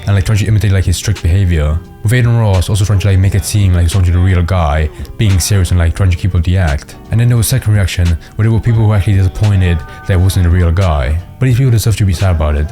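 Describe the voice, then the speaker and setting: Brief: male commentary narrator, deep, rapid, casual, music underneath. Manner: casual, filler "like" throughout. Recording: voice-over with music behind.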